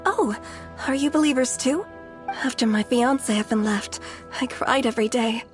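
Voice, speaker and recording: A woman's voice: fairly high pitch, moderate pace, faint music behind, close to an everyday voice. An actress playing a devout, hopeful young woman in a game, earnest and wistful. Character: young woman devoted to a fraud.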